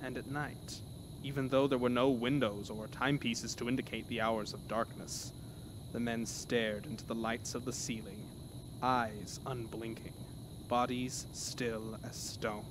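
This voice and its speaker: A man reads a scripted horror tale as narrator, with theatrical, dramatic delivery. His voice sits at a middle pitch. The recording carries clear background noise. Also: flat delivery, unhurried.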